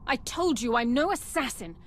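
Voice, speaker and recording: An actress playing an earnest, determined army captain in a video game, with formal speech. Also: female voice, high-pitched, animated, normal speed. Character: earnest, brisk young female Republic army captain. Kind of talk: video game cutscene acting.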